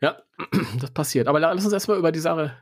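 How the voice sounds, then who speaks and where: A man's voice: a little high, animated, fast talker, no room sound, clean studio sound. A man co-hosting a podcast, talking casually in a colloquial, wry way and giving opinions. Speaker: podcast host.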